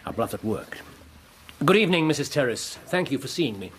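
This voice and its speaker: A commanding English detective in a radio drama. He is brisk and precise, with a mid-range voice that moves animatedly. Faint background noise sits behind him. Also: mid-range voice, sing-song, fairly bright tone. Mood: coolly incisive. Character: incisive, commanding middle-aged gentleman detective. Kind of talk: British radio drama acting.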